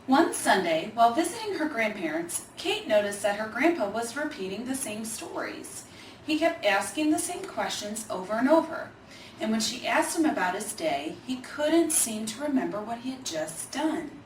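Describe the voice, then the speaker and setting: Female storybook reader, higher-pitched and measured, expressive phrasing; roomy, echo-heavy recording. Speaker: Alzheimer's organization staffer reading a children's book. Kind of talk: scripted storybook reading. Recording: echoey room, distant mic, faint noise.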